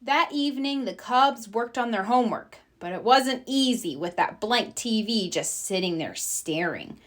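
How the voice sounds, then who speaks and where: A woman reads a children's storybook aloud. Her voice sits a little high and swings widely in pitch. She takes her time, narrating with plenty of expression. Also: animated, unhurried. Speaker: storyteller reading a picture book.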